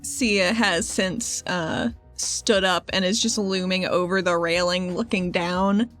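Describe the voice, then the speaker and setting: A woman's voice: lowish voice, steady tone, slow pace, fairly bright tone, soft background music. A woman playing on a tabletop roleplaying podcast, chatting casually and playfully and improvising what her character does. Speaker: player on a tabletop game podcast. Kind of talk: casual, playful game chat and improvised narration.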